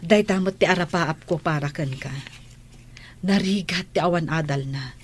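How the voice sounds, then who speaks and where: A woman playing a firm, practical mother in a radio drama, in a husky voice. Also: fairly low pitch, moderate pace, slight background noise, close to an everyday voice. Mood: firm advising. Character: firm, practical mother urging her daughter to study.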